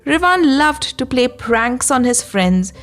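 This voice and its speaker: Woman as a kindly, animated storybook grandmother. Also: high-pitched, takes it slowly, soft background music, pitched above the performer's own voice, heavily acted. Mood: playful storytelling. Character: kindly, wise grandmother telling stories to her grandchild. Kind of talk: storybook character voice.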